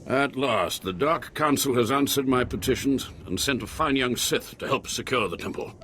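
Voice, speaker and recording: An actor playing a weary, sardonic Imperial temple warden in a video game, formal and theatrically grave. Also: male voice, mid-range voice, normal speed, fairly bright tone, a little hiss or hum, natural voice.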